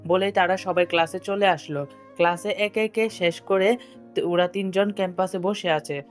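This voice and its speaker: Female story narrator, lower-pitched voice, scripted delivery, light music underneath. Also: steady tone, moderate pace. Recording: music behind the voice. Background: background music.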